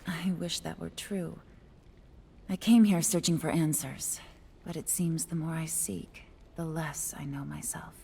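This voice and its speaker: A woman playing a wistful, guarded fox spirit in a fantasy game, speaking softly, breathily and hesitantly. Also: low voice, fairly even intonation, a little slow, creaky, slightly rough, exaggerated voice. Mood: wistful, troubled. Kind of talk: cinematic fantasy game acting.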